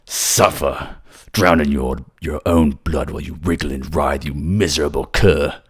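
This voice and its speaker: Guy doing a cold proud king in fantasy asmr, super deep and creaky, menacing, formal. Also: steady tone, unhurried, heavily acted. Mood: vicious. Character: ruthless proud king, fierce, cold, volatile. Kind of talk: fantasy audio drama, ASMR roleplay.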